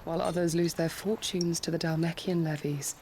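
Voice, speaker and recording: A woman's voice: lowish voice, steady tone, fairly quick, darkish tone, a little hiss or hum, lightly acted. An actress playing a loyal, thoughtful attendant to a nobleman in a fantasy game, speaking formally and with composed deference. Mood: pensive. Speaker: video game character. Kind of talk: dramatic cutscene acting.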